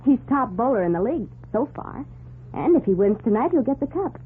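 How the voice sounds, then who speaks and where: A woman's voice: fairly high pitch, very animated, fairly quick. An actress playing a perky, eager young woman assistant with a chatty manner, in 1940s radio drama style. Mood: chatty, confiding. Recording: noisy, phone-like 1940s radio recording.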